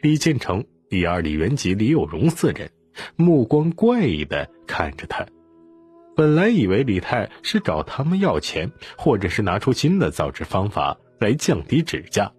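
A man's voice: fairly low pitch, huge pitch swings, moderate pace, a little mellow, breathy edge, soft background music. A man reading an audiobook as a scripted, dramatic story narration.

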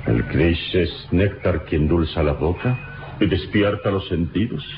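An actor playing a noble, worldly adventurer in an old radio serial, measured, suave and grandiloquent. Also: male voice, low voice, noisy background. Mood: gallant, philosophical. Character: noble, worldly male adventurer hero, calm and gallant. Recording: muffled old radio recording with music.

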